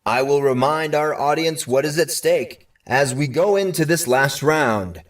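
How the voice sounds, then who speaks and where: A man's voice: on the high side, recorded from a distance, voice pushed up, lightly acted. Man acting a showy, theatrical game show host. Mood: teasing, suspenseful. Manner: theatrical, drawn-out announcer delivery. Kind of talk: audio fiction character voice.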